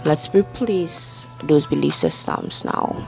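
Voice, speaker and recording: A woman with a low, creaky voice guides a meditation over faint music. She delivers affirmations in a soothing, deliberate way, with long pauses between phrases. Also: rough recording. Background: soft background music.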